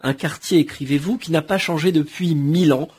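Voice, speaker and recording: A man hosting a radio book interview, asking formal, measured questions. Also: fairly high pitch, a little mellow, husky. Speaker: radio host.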